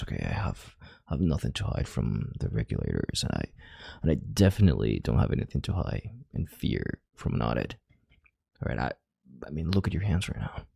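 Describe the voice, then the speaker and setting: Commanding, calculating male CEO played by a man for intimate audio roleplay: rock-bottom pitch, very husky, measured and authoritative. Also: dry recording, studio quality, heavily acted. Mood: controlled, insistent. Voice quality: very deep, gravelly, husky voice. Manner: authoritative, measured, halting, sighing and hushing.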